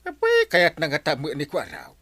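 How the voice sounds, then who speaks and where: An excitable, suspicious Ilocano husband from a comedy radio drama. His pitch swings wildly and the voice is bright, recorded close and dry. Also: moderate pace, husky, no room sound, heavily acted. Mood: doubtful. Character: excitable village husband, comically suspicious and questioning.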